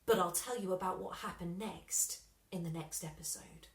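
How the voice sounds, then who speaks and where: A woman reading a children's story expressively, with dramatic pauses and emphasis. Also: lowish voice, creaky. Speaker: story reader. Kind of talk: scripted children's story narration. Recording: roomy, echoing room sound.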